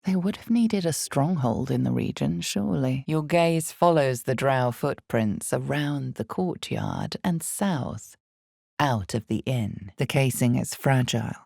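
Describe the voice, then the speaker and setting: A woman playing the ominous, knowing narrator of a dark fantasy game, speaking slowly and deliberately with dramatic weight in a low, gravelly voice. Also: sing-song, dry recording, studio quality, exaggerated voice. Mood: measured, ominous. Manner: slow, deliberate, dramatic delivery. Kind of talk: scripted second-person fantasy game narration.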